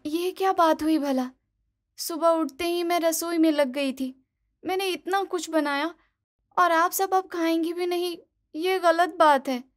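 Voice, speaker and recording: A woman playing an eager, expressive young daughter-in-law who coaxes and pleads in a cartoon moral story. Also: very high voice, unhurried. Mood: pleading, hurt. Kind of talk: animated moral story dialogue.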